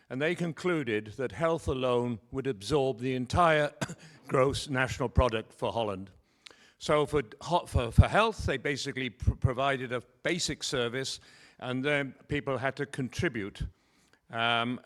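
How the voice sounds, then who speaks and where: A man in the audience asking a question at a public meeting, speaking in a measured way with small restarts. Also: medium pitch, a bit bright.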